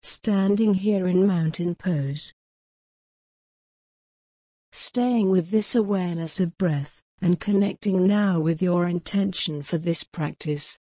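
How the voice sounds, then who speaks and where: A woman guiding a mindfulness meditation in a calm, gentle, unhurried way of instructing. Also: on the low side, no room sound. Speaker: meditation guide. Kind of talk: guided mindfulness meditation narration.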